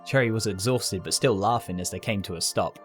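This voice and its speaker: Lower-pitched man narrating, music behind. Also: a little fast. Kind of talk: scripted story narration.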